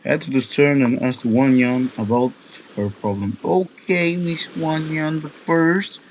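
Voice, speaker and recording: A man's voice: mid-range voice, a little slow, a little hiss or hum, poor audio. A man giving casual, off-the-cuff gameplay commentary as a let's player.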